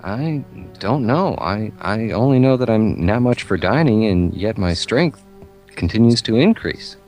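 Man acting a slow, tormented, gloomy doctor. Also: on the low side, expressive, darkish tone, soft background music. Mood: gloomy, courteous. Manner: anguished, halting, theatrical. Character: tormented, gloomy doctor going mad, haunted by voices. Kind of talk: melodramatic amateur radio play acting.

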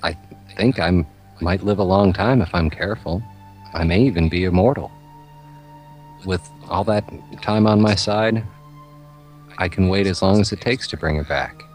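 A man's voice: low voice, normal speed, pauses often, a little mellow, faint music behind, close to an everyday voice. A man playing a grieving, obsessed and sinister reborn doctor in an amateur horror radio play, solemn and theatrical. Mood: calm, sinister resolve.

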